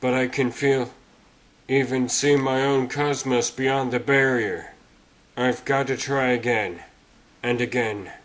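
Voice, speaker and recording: A man playing an earnest, slightly patronizing noble superhero in a cartoon comic dub, formal and measured. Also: medium pitch, steady tone, fairly bright tone, rough recording. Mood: resolute.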